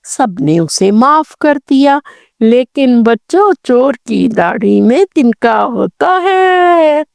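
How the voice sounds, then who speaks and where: A woman's voice: high-pitched, sing-song, slow, vocal fry, dry recording. A woman narrating a scripted children's story, speaking expressively and addressing young listeners directly.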